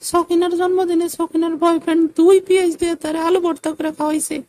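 A man's voice: extremely high pitch, flat delivery, quick pace, dark tone, dry recording, voice pushed up. A man voicing a scornful, demanding young girlfriend who scolds with contempt in a comic cartoon. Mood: scornful complaining.

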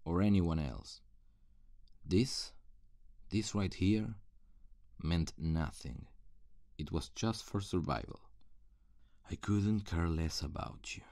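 A man playing a gruff, cynical loner surviving an apocalypse in an ASMR roleplay, terse and guarded, with a gravelly voice. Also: dark tone, no echo, clean studio sound, exaggerated voice. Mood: bitter, dismissive. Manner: low, deliberate, terse and guarded. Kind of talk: ASMR roleplay acting, addressed to the listener.

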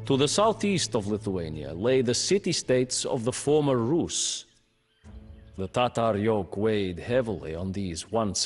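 A solemn male chronicler recounts old battles in unhurried, measured storybook narration. His delivery moves slowly, with a lively, rolling pitch. Soft music sits faintly beneath the studio voice. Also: husky. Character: male captive chronicler telling a war tale. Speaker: campaign narrator.

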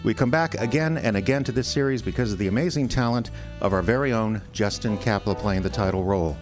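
A man's voice: fairly low pitch, fast talker, soft background music. A man hosting and narrating a radio theater show in a scripted announcer style. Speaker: host and announcer.

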